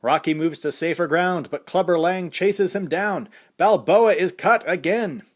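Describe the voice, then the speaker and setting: A man voicing an excited male fight announcer calling a boxing match play by play, raised high and loud above his own voice. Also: a little slow. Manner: breathless play-by-play.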